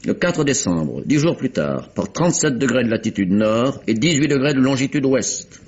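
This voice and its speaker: Imperious prosecutor, vintage radio mystery: husky, swooping delivery, formal and pointed. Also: male voice, medium pitch, very animated, normal speed, a little mellow. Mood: pressing, assured. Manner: formal, pointed, theatrical questioning. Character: imperious, insistent English male public prosecutor interrogating witnesses. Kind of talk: 1950s-style French radio mystery acting. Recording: dull, muffled vintage radio recording.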